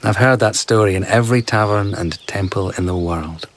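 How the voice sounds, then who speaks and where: An actor playing an enigmatic, flirtatious traveller in a radio drama, telling tales in an unhurried, playful way. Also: male voice, lowish voice, slight background noise, close to an everyday voice. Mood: playful, storytelling. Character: enigmatic, charming, flirtatious well-travelled male storyteller of uncertain age. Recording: outdoor garden ambience with insect chirps.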